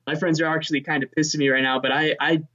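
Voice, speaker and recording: A young man, a guitarist, chatting casually as an interview guest in a relaxed, easygoing way, often saying man and dude. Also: fast talker, lo-fi sound. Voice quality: high voice. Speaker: touring session guitarist, guest.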